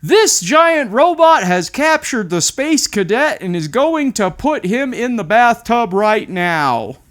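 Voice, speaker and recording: A man voicing a playful but firm dad in a children's storybook, loud and commanding as he orders bedtime. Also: extremely high pitch, sing-song, slow, voice pushed up, heavily acted. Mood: playful, booming.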